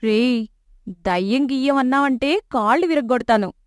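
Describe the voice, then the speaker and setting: An actress voicing a feisty young daughter in an animated story, who talks in a snappy, scolding way. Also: female voice, high voice, moderate pace, no room sound, lightly acted. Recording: dry close studio mic.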